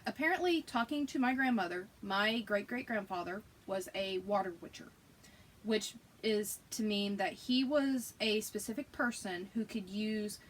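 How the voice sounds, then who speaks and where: A woman's voice: mid-range voice, fairly bright tone, slight background noise. A woman with a Southern US accent chatting casually as a co-host, self-deprecating and rambling as she tells stories. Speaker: podcast co-host. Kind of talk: casual chat.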